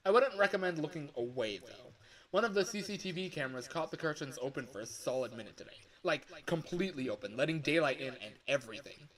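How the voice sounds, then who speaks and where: A man's voice: on the high side, expressive, quick pace, fairly bright tone, low-quality recording. A man voicing a chatty, jaded male office coworker giving a matter-of-fact, upbeat briefing for a video game. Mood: briefing, wry. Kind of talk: video game voice line recording.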